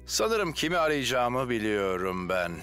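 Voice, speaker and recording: A man's voice: mid-range voice, very animated, measured pace. A man voicing a dependable, heroic village fireman in a children's cartoon, decisive and reassuring. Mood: businesslike. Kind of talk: animated children's cartoon dub. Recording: loud music behind the voice.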